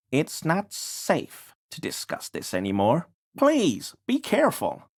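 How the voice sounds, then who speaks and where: A man's voice: on the high side, dry recording, clean studio sound, full character voice. An actor playing a paranoid, furtive deliveryman in a video game, conspiratorial and evasive, deferential yet smug. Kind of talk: video game character dialogue.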